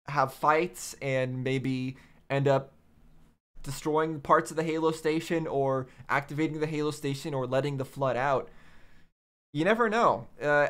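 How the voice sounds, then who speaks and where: A man giving casual off-the-cuff commentary as a reaction host, musing and halting as he thinks aloud. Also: medium pitch, a bit bright, clean tone. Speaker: host of a game reaction video.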